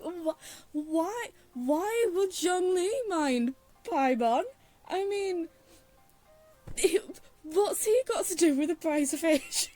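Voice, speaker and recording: A woman's voice: very high-pitched, animated, takes it slowly, mellow, faint music behind. A woman giving casual, rambling playthrough commentary in a teasing, exasperated, giggly manner. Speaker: streamer playing a story game.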